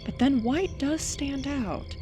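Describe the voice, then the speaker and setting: Sardonic young skeptic, music behind. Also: female voice, sits high, slow. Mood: irritated, muttering. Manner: dry, sardonic. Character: skeptical, mocking young woman, a sarcastic prankster. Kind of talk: audio drama acting.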